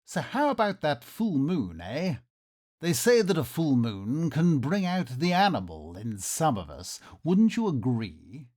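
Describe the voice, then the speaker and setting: A man's voice: a little high, sing-song, a little slow, a little mellow. A man playing a nervous, suspicious Victorian nobleman, speaking in a formal, melodramatic way in an old-time radio theatre comedy. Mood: slyly probing.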